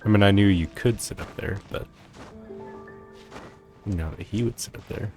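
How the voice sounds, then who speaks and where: A man giving casual, low-key commentary on a video game as he plays, musing to himself. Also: normal speed, a little mellow, soft background music. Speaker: gameplay streamer.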